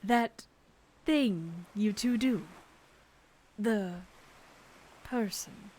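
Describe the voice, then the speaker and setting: A woman playing an irritable, accusing goddess with a deliberate, pointed delivery in an audio drama. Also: medium pitch, darkish tone, a little hiss or hum. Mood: accusing, strained. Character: irritable, accusing goddess, bitter about humans. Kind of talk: dramatic audio fiction acting.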